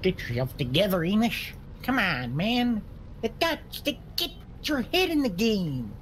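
An excitable, bumbling Southern sheriff in a comic game roleplay. He whoops and sputters in a high voice that leaps up and down wildly. Sirens and engine noise run clearly behind him. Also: measured pace, full character voice. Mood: exasperated. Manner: whooping, sputtering, repeating himself. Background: police sirens, game vehicle noise.

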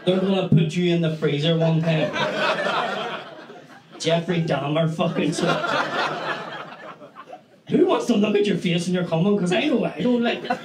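High-voiced Northern Irish comic. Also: male voice, normal speed, long pauses, very noisy. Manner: animated, swearing, storytelling with acted-out quotes. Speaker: stand-up comedian. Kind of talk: stand-up comedy set. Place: small comedy club stage with brick wall. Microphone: handheld stage mic. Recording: echoey club with loud audience laughter. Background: audience laughter.

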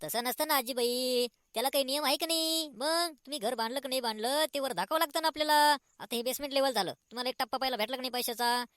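A man playing an officious village clerk in a comic cartoon, brisk and instructing. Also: very high voice, a bit bright, smooth, no echo, clean studio sound. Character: officious village clerk collecting taxes and explaining rules.

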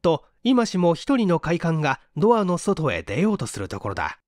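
Male audiobook narrator for mystery fiction, animated dramatic read, dry studio-like sound. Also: on the high side, normal speed, no room sound.

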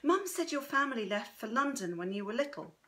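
A woman's voice: a little high, big pitch swings, a little fast, slight background noise, pitched above the performer's own voice. A woman voicing a curious, affectionate granddaughter in an audiobook, with a tactful, earnest and inquisitive manner. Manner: gentle, inquisitive.

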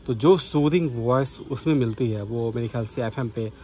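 A man's voice: mid-range voice, moderate pace, darkish tone, a little hiss or hum. A man giving casual vlog commentary as a radio hobbyist.

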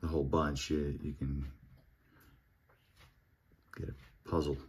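Slow, low-voiced man murmuring over cards. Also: slightly rough. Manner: murmurs player names one by one, relaxed and unhurried. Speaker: card collector opening packs. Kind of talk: casual pack-opening commentary. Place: home table with card collection display.

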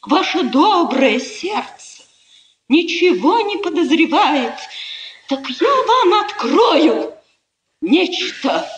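An actress playing a proud, bitterly mocking, agitated countess in a 1950s radio play, with theatrical, taunting emphasis. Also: female voice, sits high, sing-song, slow pace, muffled recording, soft background music, exaggerated voice. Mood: conspiratorial. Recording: echoey, noisy 1950s radio recording.

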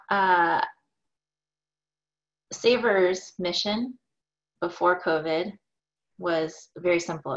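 An upbeat woman in her forties answers questions over a webcam from a home office. Her voice sits a little low. The call audio is dull and lo-fi, and she talks warmly with the odd "uh" between thoughts. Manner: upbeat, thoughtful, with fillers and laughs. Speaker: small business owner, keynote guest. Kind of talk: conversational Q&A answers. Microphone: video call, webcam.

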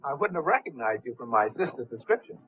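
Breezy young playboy gambler played by a man, quick, lively, flippant; thin 1930s film audio. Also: mid-range voice, slight background noise, natural voice. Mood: breezy, wry. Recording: muffled, tinny 1930s film soundtrack.

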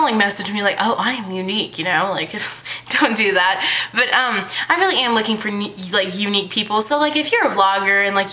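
A teenage girl vlogging to her webcam in her bedroom, talking fast and hyper in a childlike baby voice, full of fillers. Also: medium pitch, bright, forceful tone. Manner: bubbly, hyper, chatty with many fillers. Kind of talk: casual chat to camera. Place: small bedroom indoors. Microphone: webcam at arm's length.

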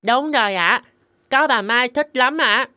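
A woman's voice: a little high, unhurried, pushed and bright, no room sound, clean studio sound. A woman voicing an eager, proud five-year-old boy in a cartoon. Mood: happy. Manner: eager, boastful. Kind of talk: cartoon dubbing.

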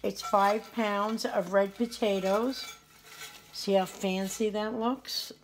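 A woman's voice: medium pitch, steady tone, slow, background noise. Chatty woman cooking in her home kitchen. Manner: chatty, homey, tasting and praising the food as she goes. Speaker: home cooking show host. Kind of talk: casual cooking demonstration. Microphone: camera on the counter at arm's length.

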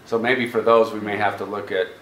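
A man's voice: fairly low pitch, level tone, a little fast, a bit bright. An American man giving an informal report and answering questions as a school district staff presenter at a meeting. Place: indoor public board meeting room. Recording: echoey meeting room, faint background noise.

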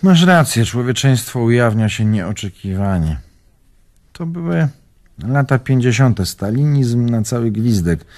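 An actor playing an old, carefree Polish antique collector, telling stories expressively in a radio drama. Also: male voice, on the low side. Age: older. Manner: expressive, storytelling, old-world phrasing. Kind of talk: radio drama acting, reminiscing monologue.

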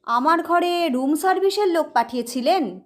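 An irritable male hotel guest in an audio story, acted out by a woman, speaks in a high voice. He presses for answers insistently, with lively rises and falls. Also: moderate pace. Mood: angry, suspicious.

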